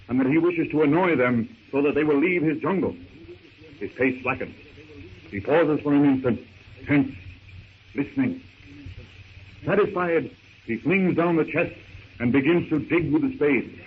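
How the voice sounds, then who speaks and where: A man's voice: a little high, narrow, tinny audio, close to an everyday voice. A man narrating a 1930s radio adventure serial in a theatrical, suspenseful, declamatory manner. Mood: dramatic, then hushed suspense. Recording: thin, noisy 1930s radio recording.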